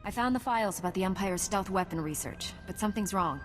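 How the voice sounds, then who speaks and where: An actress playing a calm, firm female knight in a video game, composed and resolute. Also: medium pitch, fast, natural voice. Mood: focused. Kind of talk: video game cutscene acting. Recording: long hall reverb, faint music.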